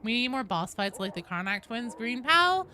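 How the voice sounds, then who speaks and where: A woman's voice: a little high, sing-song, normal speed, pushed and bright. A woman livestreaming a video game, chatting casually and animatedly with viewers, swearing freely and greeting people as they come. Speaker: game streamer and host. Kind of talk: casual livestream chat over gameplay. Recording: clear background game noise. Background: video game sounds.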